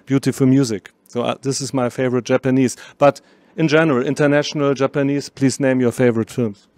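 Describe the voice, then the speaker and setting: Enthusiastic German-native interviewer, dry sound. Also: male voice, mid-range voice, fairly even intonation, fairly quick, darkish tone, dry recording. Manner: enthusiastic, rambling. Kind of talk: festival interview. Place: small room at a film festival. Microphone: handheld mic.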